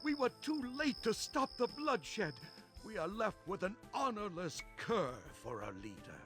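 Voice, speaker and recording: An actor playing an outraged, bitterly accusing Hun archer in a video game. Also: male voice, high-pitched, sing-song, a little slow, a bit bright, soft background music, exaggerated voice. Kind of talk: video game cutscene voice acting.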